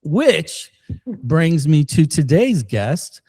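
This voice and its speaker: A man's voice: a little high, big pitch swings, darkish tone, slightly rough, dry recording, clean studio sound. A man hosting a podcast, asking rambling questions with plenty of jokes and restarts. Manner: joking, rambling, halting questions. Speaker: podcast host. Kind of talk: podcast interview. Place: Missouri.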